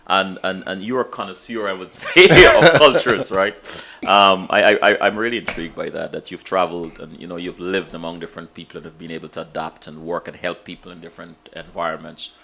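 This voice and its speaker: A man's voice: sits low, fairly even intonation, fairly quick, vocal fry, few pauses, sharp tone, a little hiss or hum. A man hosting an interview, asking long, searching questions with many stammered restarts. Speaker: interview host.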